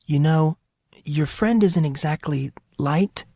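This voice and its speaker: Man voicing a cool, higher-pitched teenage girl. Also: takes it slowly, mellow, dry recording, studio quality. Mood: exasperated. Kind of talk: audiobook character voice.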